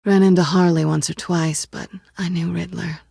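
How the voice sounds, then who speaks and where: A husky lowish cool cat burglar lady for a game, sly n teasing, super dry close sound. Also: little pitch movement, moderate pace, dark tone, no echo, full character voice. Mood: guarded, matter-of-fact. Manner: sardonic, teasing, understated. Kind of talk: naturalistic video game dialogue acting.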